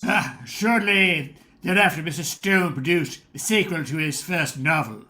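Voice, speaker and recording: A man's voice: a little high, a little slow, bright, forceful tone. A man playing the formal, theatrical host and narrator of an old-time style radio play. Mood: theatrical, inviting.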